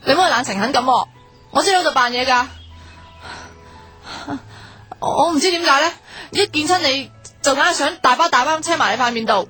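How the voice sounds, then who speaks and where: A high-pitched, feisty young woman in a radio drama, with faint music behind her. She speaks Hong Kong Cantonese, blunt and bossy, with a sharp, expressive tongue. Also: fast. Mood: hurt, accusing. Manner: blunt, teasing, expressive slangy scolding. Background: background music.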